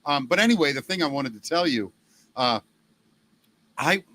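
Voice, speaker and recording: A middle-aged former radio man with a loud, somewhat high voice and a gravelly smoker's edge. His tone is bright and forceful, and he tells animated stories, slipping into the voices of the people he quotes. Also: moderate pace. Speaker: podcast host, former radio DJ. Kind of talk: casual chat.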